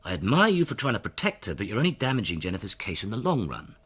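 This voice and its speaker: An actor playing a hard, sardonic detective inspector in a radio drama, needling and sarcastic, with a husky voice. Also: male voice, fairly low pitch, big pitch swings, fast, a bit bright, exaggerated voice. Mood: pressing, accusing.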